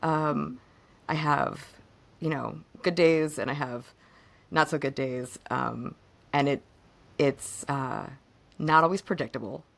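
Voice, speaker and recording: A hoarse woman co-hosting a podcast, chatting casually and haltingly about personal matters, her voice fading a bit. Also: low-pitched, a bit bright. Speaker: podcast co-host.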